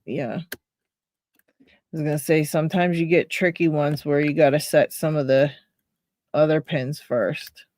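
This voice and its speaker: A woman's voice: low voice, fairly even intonation, unhurried, pauses often, a little mellow, dry recording, studio quality. A woman with a Pittsburgh accent, hosting a casual live stream and chatting with viewers while she reads names from chat and laughs often. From Pennsylvania, USA. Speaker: livestream host.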